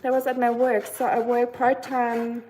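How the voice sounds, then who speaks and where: A woman's voice: a little high, little pitch movement, normal speed, noisy background. A young woman chatting casually on a live stream while cooking at home, reading comments with lots of fillers and giggly asides. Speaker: livestreamer.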